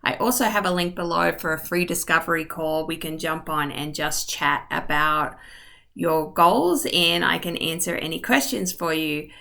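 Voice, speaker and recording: A woman's voice: sits low, normal speed, gravelly, sharp tone. A woman real estate agent narrating a map tour in a friendly, informal way, a little short of voice. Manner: friendly, informal, with ums and asides. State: losing her voice. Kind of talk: map tour narration over screen share.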